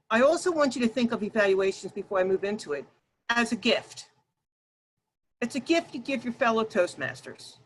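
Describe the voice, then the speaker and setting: A woman presenting an online workshop over a video call, talking loudly and emphatically and addressing her audience directly. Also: on the high side, a little hiss or hum, poor audio.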